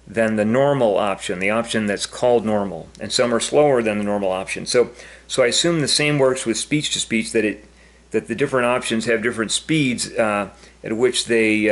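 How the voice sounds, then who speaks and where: A man's voice: medium pitch, fairly bright tone. Hesitant, rambling male vlogger. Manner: halting, repetitive, rambling. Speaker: vlogger demonstrating an app. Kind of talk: casual unscripted explanation to camera.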